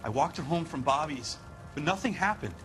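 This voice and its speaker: An American actor playing a defensive, resentful husband under police suspicion in a video game, speaking plainly. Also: male voice, a little high, expressive, fairly quick, fairly bright tone, soft background music. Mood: guarded, admitting. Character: defensive, resentful husband suspected of killing his wife. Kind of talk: video game dramatic acting.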